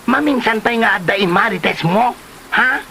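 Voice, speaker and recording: A man acts out a brash, gossipy Ilocano Filipino quarreler in a comedy drama. The voice is high and piercing, with gravelly creak at the edges. Delivery is drawn-out, exclamatory and taunting, over faint background noise. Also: expressive, bright, forceful tone, exaggerated voice. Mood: scolding, taunting. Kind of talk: amateur comedy drama acting.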